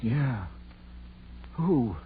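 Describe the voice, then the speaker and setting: A man's voice: mid-range voice, animated, very slow. An actor playing a superstitious old country man in a radio horror drama, folksy, hesitant and stubbornly insistent. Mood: spooked. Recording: tinny, noisy vintage radio recording.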